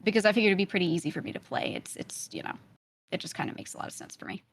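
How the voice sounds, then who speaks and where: A woman's voice: on the low side, big pitch swings, rapid-fire, slightly rough. A young woman with a light Brooklyn accent, chatting casually as a podcast guest. She laughs often and fills her talk with "like" and "you know.". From Brooklyn, New York.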